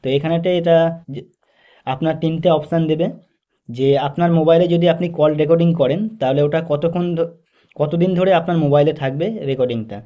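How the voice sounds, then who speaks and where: A man's voice: a little high, fairly quick. A man narrating a step-by-step phone tutorial in a methodical, instructional way with repetitive phrasing. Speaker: tech tutorial host. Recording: dull, lo-fi voice-over recording.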